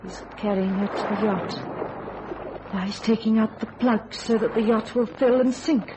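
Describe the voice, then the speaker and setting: A woman's voice: fairly high pitch, steady tone, measured pace, close to an everyday voice. An actress playing a haunted, psychic wife in a radio thriller, intense and trance-like in her delivery. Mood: entranced dread. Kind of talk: old-time radio thriller acting. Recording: noisy old radio drama recording.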